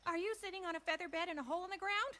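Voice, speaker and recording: Shrill, frantic cartoon pony. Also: female voice, racing, sharp tone. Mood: frantic disbelief. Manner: breathless, incredulous questioning.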